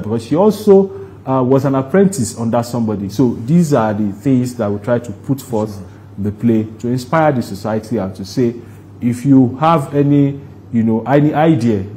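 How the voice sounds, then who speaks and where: A man with a Nigerian accent answering interview questions in a deliberate, halting way, explaining things at length. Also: mid-range voice, warm tone, slight background noise. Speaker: guest, stage play producer.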